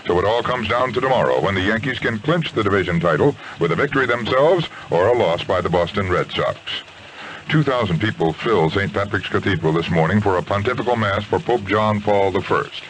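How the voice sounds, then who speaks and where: A man's voice: on the low side, brisk, fairly bright tone. An American man anchoring a scripted radio newscast on a 1970s AM station. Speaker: news anchor. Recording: noisy 1970s AM radio aircheck.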